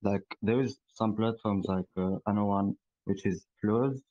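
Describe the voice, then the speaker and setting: A man's voice: lowish voice, steady tone, unhurried, dry recording, muffled recording. A man from Tunisia whose first language is Arabic, asking a rambling, friendly question in a casual voice chat. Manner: friendly and rambling, with many fillers. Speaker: audience member asking a question.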